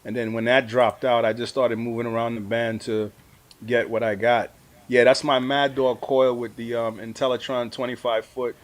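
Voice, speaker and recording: A man's voice: medium pitch, flat delivery, normal speed. A middle-aged or older man, a radio hobbyist guest, telling his story in a casual, colloquial way. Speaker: amateur radio operator, award-winning guest. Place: live video stream.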